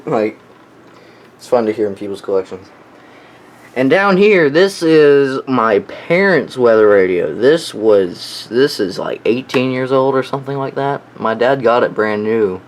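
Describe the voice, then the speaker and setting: A man's voice: medium pitch, measured pace. A young man giving a casual, unscripted show-and-tell in an offhand, enthusiastic hobbyist way. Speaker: weather radio collector showing their collection. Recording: clearly noisy home recording.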